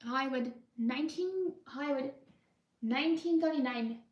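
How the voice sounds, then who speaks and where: A woman voicing an ominous announcer for a spooky ride, with a slow, solemn recitation. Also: sits high, dull audio, close to an everyday voice. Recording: roomy echo, mic far off.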